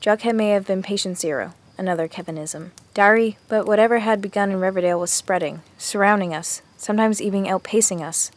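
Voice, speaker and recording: A woman's voice: mid-range voice, a little fast, hardly any pauses, lightly acted. An actress playing an earnest teenage girl in a zombie audio drama, confiding and reflective as she narrates her diary. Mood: tense, urgent. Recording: music under the voice. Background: music.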